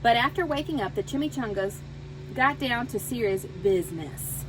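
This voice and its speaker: A woman reading a children's story aloud in an expressive, dramatic way, with Spanish words sprinkled in. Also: on the high side, normal speed, background noise. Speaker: storybook reader. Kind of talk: animated children's read-aloud.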